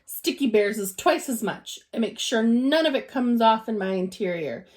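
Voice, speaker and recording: A woman's voice: fairly high pitch, lively intonation, normal speed, close to an everyday voice. Woman putting on a grumpy, growling taxi driver. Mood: grumbling.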